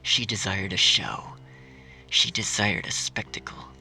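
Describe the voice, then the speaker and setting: A man narrating a scripted dark fan fiction story with dramatic, deliberate phrasing. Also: deep voice, expressive, gravelly, whispered, low-quality recording. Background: music.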